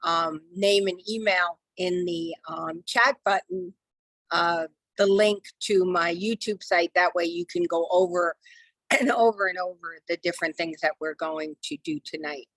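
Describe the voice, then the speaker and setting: A middle-aged woman with a lowish, slightly hoarse voice leads a live online class in a chatty, reassuring way, filling pauses with ums. Her video-call audio is dry and close. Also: fairly even intonation, fairly bright tone, dry recording. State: losing her voice. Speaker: real estate software trainer. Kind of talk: live online training session.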